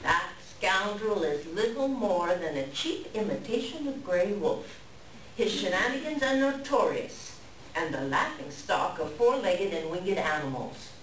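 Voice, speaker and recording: A haughty raven, acted out by a woman, speaking slowly with big swoops in pitch. The delivery is scornful and theatrical, fit for a staged reading, in a roomy, echoing space. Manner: theatrical, indignant cawing. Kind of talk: reader's theater performance. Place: indoor library reading, distant mic. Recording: roomy, distant live reading, faint noise.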